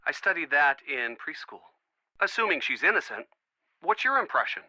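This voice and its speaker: An actor playing an earnest, wisecracking young American boyfriend, chatty and eager, in an adventure game. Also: male voice, a little high, sing-song, moderate pace, sharp tone, no echo, full character voice, low-quality recording. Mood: teasing, earnest. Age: young adult. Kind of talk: scripted adventure game dialogue.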